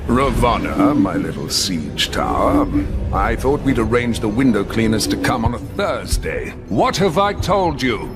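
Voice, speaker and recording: A pompous, gloating villain overlord who speaks slowly with wildly swinging pitch. It is theatrical video game acting over very loud music. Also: male voice, very animated. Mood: smug menace.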